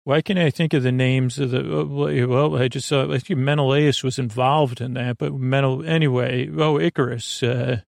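A man hosting a bedtime podcast in a creaky voice, with a lulling, halting delivery that meanders off on tangents. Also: medium pitch, fairly even intonation, dry recording, studio quality. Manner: lulling, soothing, halting and digressive. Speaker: podcast host. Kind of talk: rambling, meandering bedtime monologue.

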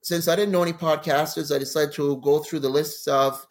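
Canadian guy in his 40s or so, guest on a podcast, telling funny travel stories, kinda high voice, acts stuff out. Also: fairly quick. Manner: animated anecdotes with laughs and acted-out quotes. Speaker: guest, crime novelist. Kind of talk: casual interview storytelling.

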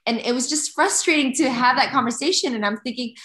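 A woman's voice: high voice, quick pace, echoey room. A woman in her thirties, from Malaysia and raised in Canada, talking as a podcast guest in a chatty, open way with frequent restarts. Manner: chatty, warm laughs, many restarts. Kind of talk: conversational interview.

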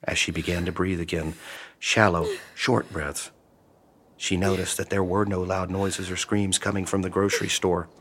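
A man's voice: low-pitched, flat delivery, normal speed, a little hiss or hum. A man reading scripted narration for a horror audio drama. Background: loud music.

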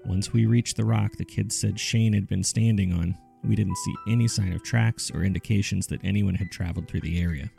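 A man hosting a story podcast, reading scripted mystery case files in a measured, serious storytelling manner. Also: low voice, creaky, warm tone. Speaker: podcast host and narrator.

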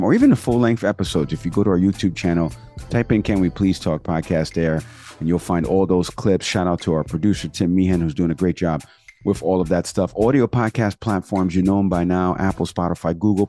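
Low-voiced American man talking news. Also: dark tone. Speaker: podcast co-host. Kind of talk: casual news commentary.

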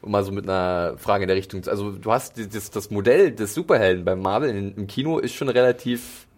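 A man's voice: fairly low pitch, quick pace. A man hosting a casual film podcast, speaking in a hesitant way with many fillers and restarts. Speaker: podcast host.

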